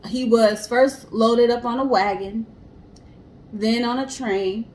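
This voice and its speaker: A woman's voice: a little high, slow pace. Chatty woman co-host, noisy background. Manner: chatty, expressive, acts out imagined remarks. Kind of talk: casual chat and informal storytelling.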